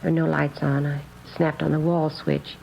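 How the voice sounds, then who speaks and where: An actress playing an earnest, naive and very proper nun in a 1950s radio drama, speaking in careful, precise phrases. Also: female voice, deep voice, level tone, normal speed, gravelly. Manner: prim, proper, carefully enunciated. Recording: dull old radio recording, faint noise.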